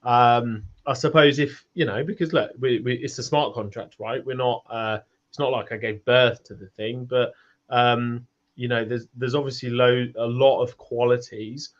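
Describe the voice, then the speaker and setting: A man hosts a casual online community chat over slightly dull, lo-fi call audio. His pitch sits in the middle, and he rambles along in a self-deprecating way, repeating short phrases as he goes. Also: steady tone, normal speed. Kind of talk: casual chat, reading out audience questions.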